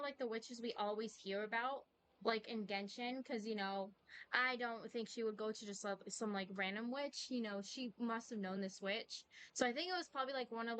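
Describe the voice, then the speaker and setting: A woman's voice: fairly high pitch, fairly bright tone, smooth, low-quality recording. A woman streaming a game with casual, rambling commentary, often saying "like". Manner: rambling, peppers talk with "like".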